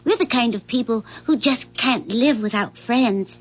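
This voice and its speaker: A woman's voice: fairly high pitch, unhurried, husky. An actress playing a sweet, evasive neighbor woman in a 1970s radio drama, speaking tentatively and wistfully, eager to please. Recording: dull, muffled 1970s radio broadcast recording.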